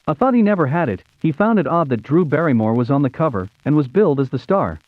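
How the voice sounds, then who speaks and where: Animated American man, tinny narrow sound. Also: on the high side, quick pace. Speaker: YouTube show host. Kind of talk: scripted nostalgic narration in read-along storybook format.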